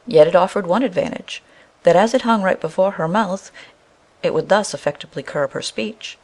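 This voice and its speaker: A woman's voice: fairly low pitch, normal speed, a little mellow. A woman narrating a scripted fairy tale as a storyteller.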